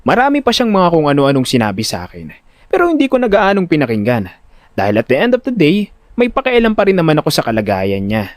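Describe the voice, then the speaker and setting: A man giving expressive, comically exasperated first-person narration of a dramatic audio story. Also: a little high, quick pace, no echo, studio quality. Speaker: narrator and lead voice actor.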